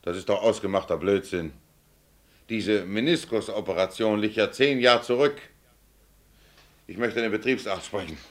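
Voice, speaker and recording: An actor playing a stubborn, indignant tram driver in a German radio play, protesting bluntly and colloquially. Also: male voice, fairly low pitch, moderate pace, pauses often, natural voice.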